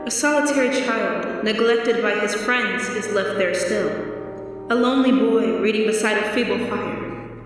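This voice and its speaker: A man playing a childlike yet ancient spirit in a radio drama, speaking in a measured, formal, solemn way. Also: medium pitch, a bit bright, soft background music, lightly acted. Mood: gentle, grave. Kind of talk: old-time radio drama acting. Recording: echoey hall, distant from mic.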